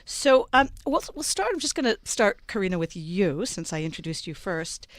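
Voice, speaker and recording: A woman hosting a radio panel show, introducing her guests in a measured way with plenty of ums and uhs. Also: medium pitch, very animated, dry recording, clean studio sound. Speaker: radio talk show host. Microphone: studio microphone.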